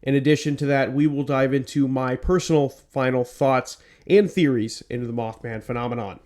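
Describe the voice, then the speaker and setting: A man hosting a one-man podcast on a home mic, his voice a little stuffed up from a cold. His pitch sits in the middle range, and he moves from read-out narration into loose chat filled with ums and restarts. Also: steady tone, normal speed, a little mellow. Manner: rambling with many ums. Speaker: podcast host. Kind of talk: scripted narration then casual chat.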